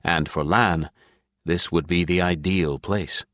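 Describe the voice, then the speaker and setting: A man's voice: sits low, fairly even intonation, takes it slowly, fairly bright tone, dry recording. A man reading a fantasy novel as a scripted audiobook narration.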